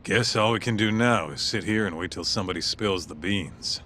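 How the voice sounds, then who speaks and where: An actor playing a laid-back, gruff associate in a video game, terse and offhand, with background noise. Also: male voice, lowish voice, moderate pace, sharp tone. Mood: unbothered. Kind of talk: English video game dub acting.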